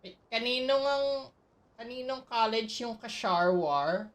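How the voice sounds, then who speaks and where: A woman's voice: fairly high pitch, lively intonation, a little slow, recorded from a distance. A woman with a Filipino accent doing casual live commentary as she plays a game, reacting aloud and switching between languages. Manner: reacts aloud, mixing English and Tagalog. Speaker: game streamer.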